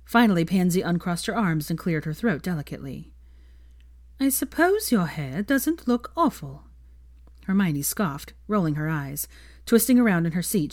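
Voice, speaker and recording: Low-pitched woman narrating a story. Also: darkish tone, no room sound, studio quality. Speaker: fan fiction reader, narrator. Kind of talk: scripted story narration with character voices.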